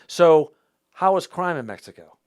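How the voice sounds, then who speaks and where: A man's voice: clean tone, no room sound, clean studio sound. A man hosting an interview show, talking casually, restarting often and repeating words. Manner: halting, repeats words as he restarts, lively rises in pitch. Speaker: host.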